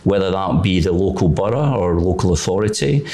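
Low-voiced Scottish man, measured and formal. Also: lively intonation, dark tone. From Scotland. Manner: measured, formal and careful, with frequent restarts. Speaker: further education college leader. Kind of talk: political debate discussion.

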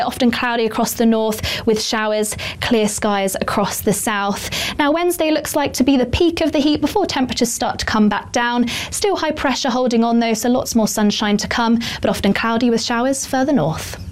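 A woman delivers a weather update in a steady, measured tone. Her voice sits a little high, and she moves through the forecast with practiced evenness. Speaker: weather presenter.

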